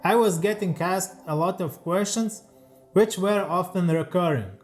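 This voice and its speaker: A man with a fairly high voice and a Lithuanian accent reads a prepared voice-over off a teleprompter. His English is careful and a little halting, the speech of a second-language speaker. Soft music plays faintly underneath. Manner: careful, slightly halting non-native English. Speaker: leathercraft YouTuber. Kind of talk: scripted voice-over read from a teleprompter.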